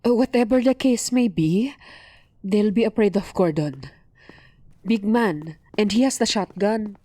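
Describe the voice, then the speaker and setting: A woman's voice: medium pitch, a little slow, warm tone, no room sound, natural voice. An actress playing a watchful, resigned woman of a household in an audio drama, hesitant and matter-of-fact. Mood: wary, resigned.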